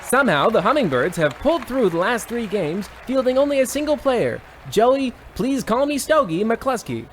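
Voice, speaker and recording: A man playing an excitable, folksy old-time radio baseball announcer with theatrical, exclamatory patter. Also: extremely high pitch, normal speed, hardly any pauses, a faint crowd, slight background noise. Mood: folksy showmanship. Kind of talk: 1940s radio sports commentary parody.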